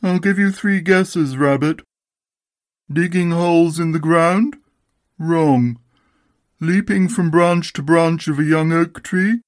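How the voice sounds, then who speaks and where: A storybook reader voicing a gloomy, dignified male donkey with a deadpan, ponderous, sarcastic manner. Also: medium pitch, slow pace, dry recording, studio quality, pitched above the performer's own voice, close to an everyday voice. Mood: dry sarcasm. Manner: deadpan, ponderous, coldly sardonic. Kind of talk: storybook character voice.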